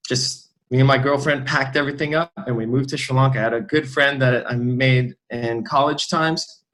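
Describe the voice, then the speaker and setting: A man's voice: medium pitch, steady tone, moderate pace, a bit bright, rough recording. Easygoing man telling his story. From Lebanon, raised in the US. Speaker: guest, fitness trainer. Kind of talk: casual interview. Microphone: remote recording.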